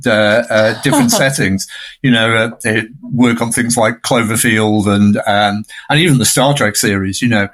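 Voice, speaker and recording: A man's voice: lowish voice, normal speed, few pauses, fairly bright tone. A man giving conversational film analysis in a discursive, emphatic way, often checking for agreement.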